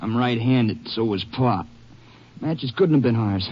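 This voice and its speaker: A man's voice: mid-range voice, animated, a little slow, natural voice. A man acting a grieving, bitter young wounded rancher in a 1950s radio drama, earnest and plain-spoken. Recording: muffled, noisy old radio recording.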